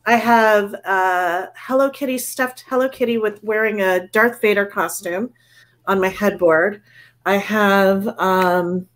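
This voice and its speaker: A woman in her forties from a Cuban family, co-hosting a casual book club chat over a video call, chatty and self-deprecating. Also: medium pitch. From Cuban-American family. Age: 40s. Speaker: book club podcast co-host.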